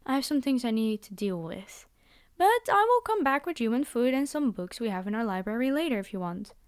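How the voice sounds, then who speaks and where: High-voiced, commanding vampire girl played by a woman. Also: sing-song, brisk, clear, resonant tone. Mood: brisk, softened. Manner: bargaining, condescending, reassuring, speaking directly to the listener. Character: commanding vampire girl, teasing, coaxing captor of a human pet. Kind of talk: scripted ASMR roleplay monologue.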